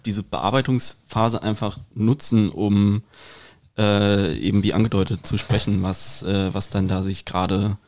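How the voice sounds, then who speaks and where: A man's voice: lowish voice, flat delivery, unhurried, a little mellow. A man co-hosting a podcast from a kitchen, chatting casually and searching for his words with a wry touch. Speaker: podcast co-host. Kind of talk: casual chat. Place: kitchen at home. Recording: clear background noise, some clicks.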